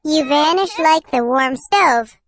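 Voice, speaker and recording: A woman voicing a poor, earnest little girl pleading in a fairy tale, with slow delivery. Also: sits high, fairly bright tone, slight background noise, voice pushed up.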